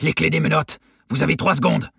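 A violent convict on the run, played by a male narrator, barking orders in a raised, husky shout. He is ruthless and aggressive, in a dramatic audiobook style with a dry, close sound. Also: on the high side, steady tone, brisk, no room sound, pitched above the performer's own voice, exaggerated voice. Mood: commanding, threatening.

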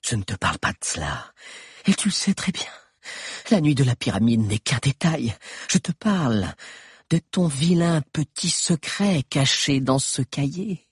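A man voicing a taunting, scheming female witch queen in a high, distant voice. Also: sing-song, unhurried, husky, no echo, clean studio sound, heavily acted. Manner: taunting, triumphant.

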